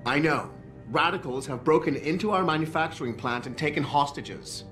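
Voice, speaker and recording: An actor playing a prickly, condescending corporate security tech expert in a video game, with a snide, sarcastic manner. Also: male voice, fairly high pitch, moderate pace, fairly bright tone, close to an everyday voice. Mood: bitter, needling. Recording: background music under the voice.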